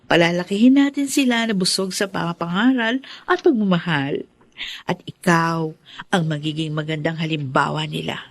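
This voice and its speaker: An actress with a Filipino accent playing a loving, sincere young sweetheart in a tender, earnest radio drama style. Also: female voice, on the low side, moderate pace, no room sound. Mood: loving, proud.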